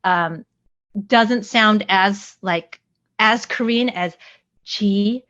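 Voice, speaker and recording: An American woman co-hosting a podcast in friendly casual chat, laughing often and filling pauses with "like" and "um". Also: medium pitch, fairly bright tone. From United States. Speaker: podcast co-host. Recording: dull dry lo-fi mic.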